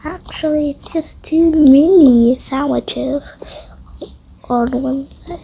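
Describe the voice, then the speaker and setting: A young-sounding female creator softly narrating an improvised toy-game roleplay, often dropping into whispers. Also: sits high, slow, vocal fry, poor audio.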